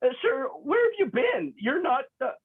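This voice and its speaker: A man's voice: extremely high pitch, sing-song, normal speed, full character voice. A man playing a jumpy, eager-to-please young lieutenant with a hesitant, stammering delivery in a comic sci-fi script read. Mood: nervous, startled. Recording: muffled, lo-fi phone-like call audio.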